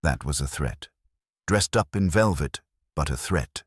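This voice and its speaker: Man, detective story narrator, bottomless pitch, husky, wry hard-boiled delivery. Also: lively intonation, a little slow, no echo, studio quality. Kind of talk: scripted detective story narration, first person.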